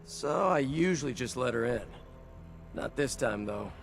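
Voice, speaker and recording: An actor playing an easygoing city security guard in a video game, friendly, informal and apologetic. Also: male voice, mid-range voice, animated, measured pace, soft background music. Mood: casual. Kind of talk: video game dialogue acting.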